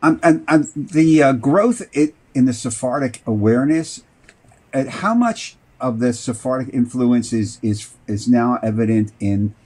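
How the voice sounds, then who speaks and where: A man hosting an interview in a conversational, somewhat halting and roundabout way. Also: medium pitch, dark tone. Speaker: talk show host, interviewer.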